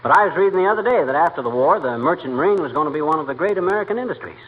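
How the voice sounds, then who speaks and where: A man's voice: a little high, animated, racing, close to an everyday voice. A man playing a boastful middle-aged husband who rambles through folksy tall sailing yarns in 1940s radio comedy style. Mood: folksy, opinionated. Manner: blustering, rambling, interrupts with folksy grammar. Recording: muffled, hissy old radio broadcast.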